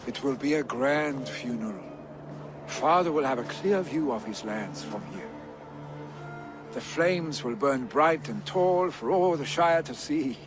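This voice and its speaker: An actor playing a proud, confident Viking jarl's son in a video game cutscene. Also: male voice, mid-range voice, lively intonation, a little slow, over music, lightly acted. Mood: fond, proud.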